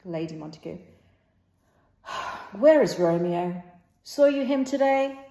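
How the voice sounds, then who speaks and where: Echoey room, woman slowly reading out an old play, amateur, bit halting, doing all the parts. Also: medium pitch, animated, mellow. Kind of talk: impromptu dramatic read-aloud of a stage play.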